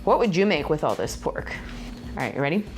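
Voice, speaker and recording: A woman's voice: low voice, very animated, brisk, over music. A woman in her thirties whose first language is Spanish, chatting playfully to viewers as she cooks in her home kitchen. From Puerto Rican and Mexican heritage. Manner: chatty, playful, laughs often, talks to viewers. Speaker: cooking vlogger. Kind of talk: casual cooking demo to camera.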